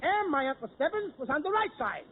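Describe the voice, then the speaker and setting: A man doing brash, wisecracking 1940s radio comedy with sudden shouts, heard on a noisy old radio broadcast. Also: extremely high pitch, very animated, fast talker, narrow, tinny audio.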